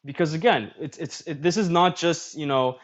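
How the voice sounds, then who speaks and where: A man's voice: fairly high pitch, moderate pace, highs cut off. Man reading posts on a livestream. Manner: reads posts aloud and comments on them. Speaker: streamer host. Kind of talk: casual livestream commentary.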